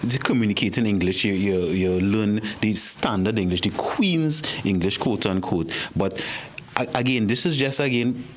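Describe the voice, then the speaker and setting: A man's voice: on the low side, normal speed. A man with a Trinidadian accent, a guest on a studio panel, who speaks thoughtfully and stammers at the starts of his points.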